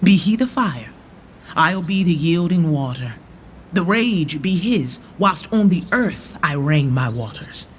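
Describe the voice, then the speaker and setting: Bold rebel lord, radio verse drama. Deliberate, formal, forceful delivery over light ambient noise. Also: male voice, on the high side, expressive. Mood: solemn resolve. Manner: declamatory, measured verse delivery. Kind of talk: Shakespearean radio drama acting. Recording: faint background noise and ambience.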